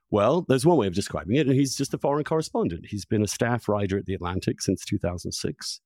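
Guy hosting an interview podcast, lowish voice, effusive and stop-start, leans hard on words, super dry recording. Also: darkish tone, no room sound. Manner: effusive, halting, emphatic, repeats words for stress. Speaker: podcast host. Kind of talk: conversational interview with long personal introduction.

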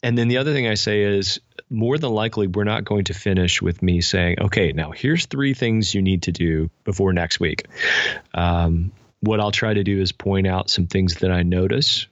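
Low-pitched American man, slightly muffled. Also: moderate pace, no room sound. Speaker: podcast guest, spiritual director and pastor. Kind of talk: reflective conversational interview.

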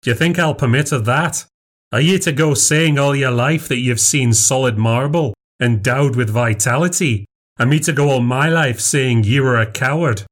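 A man's voice: mid-range voice, normal speed, natural voice. A man acts out a big, raw-boned Irish doctor from a storybook. He is brusque and scoffing, speaking with an Irish accent. His manner is bluff and commanding, full of hard common sense. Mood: scornful, insistent. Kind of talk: storybook character dialogue.

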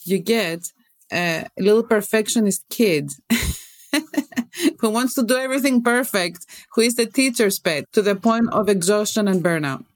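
A middle-aged woman from Greece speaks with a Greek accent. She talks earnestly and candidly as an interview guest, reflecting on her own story. Her voice sits at an ordinary pitch and is recorded close and dry, with no room sound. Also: expressive, measured pace, no echo.

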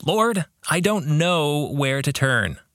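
A man's voice: fairly high pitch, lively intonation, slow pace, a bit bright, no room sound, clean studio sound. A man voicing an anxious, weary young seminary student who prays in a pleading, troubled way, in a novel reading. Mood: weary, scared. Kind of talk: audiobook-style novel reading.

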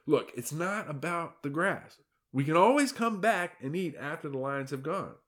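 A man's voice: fairly high pitch, expressive, moderate pace, a bit bright, voice pushed up. A man voicing Hector, an anxious male zebra urging his herd with exasperated pleading.

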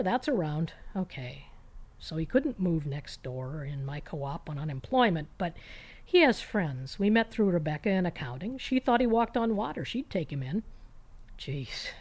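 A woman's voice: fairly low pitch, a little mellow. A woman reading a first-person short story in a conversational, wry and emphatic way, with clipped phrases, as if talking to someone.

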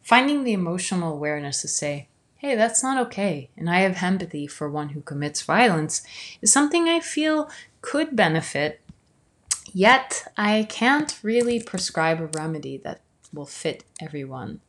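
Thoughtful woman, low-toned reflective narration. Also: very animated. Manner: measured, thoughtful, some words drawn out slowly. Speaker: video essayist.

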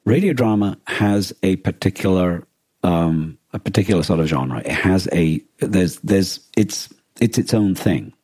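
A man co-hosting a podcast in casual discussion, with a husky voice and a hesitant way of restarting his phrases mid-thought. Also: sits low, expressive, unhurried, darkish tone, dry recording. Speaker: podcast co-host.

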